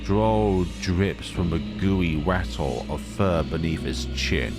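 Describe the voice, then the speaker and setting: Male let's player, low voice, narrating a horror visual novel with wry, casual commentary. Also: expressive, slow pace, music in the background. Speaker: video game let's player.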